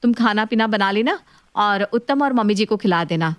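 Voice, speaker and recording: A woman's voice: medium pitch, expressive, normal speed, no echo, natural voice. A woman playing a breezy, confident modern sister-in-law in a dramatized story. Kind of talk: story dialogue.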